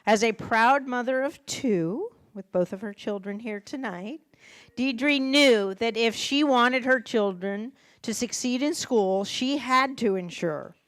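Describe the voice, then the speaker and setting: A woman's voice: a little high, takes it slowly, fairly bright tone, no echo. A woman emceeing an awards ceremony, speaking steadily and formally as she announces honorees. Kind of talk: ceremony presentation. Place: awards event hall.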